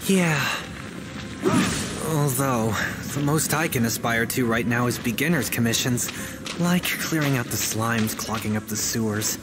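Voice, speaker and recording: An actor playing an eager, naive young novice adventurer in a video game, earnest and wide-eyed. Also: male voice, medium pitch, unhurried, natural voice. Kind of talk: English video game voice acting. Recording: background music under dialogue.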